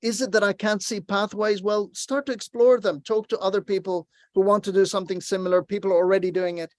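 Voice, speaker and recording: A man's voice: high-pitched, fairly even intonation, a little fast, no room sound. A man from Lebanon giving an online workshop talk, earnest and encouraging as he tells a story over a video call. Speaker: workshop presenter.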